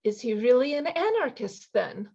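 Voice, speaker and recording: Woman playing an earnest young lady, high-pitched. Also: big pitch swings, moderate pace, darkish tone. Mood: curious, concerned. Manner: polite, hesitant, inquisitive. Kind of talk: radio play reading. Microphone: video call. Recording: dull, lo-fi video call.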